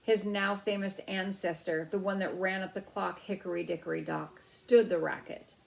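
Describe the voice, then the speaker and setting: A woman's voice: lowish voice, steady tone, normal speed, gravelly, poor audio. A woman storyteller reading a children's novel aloud.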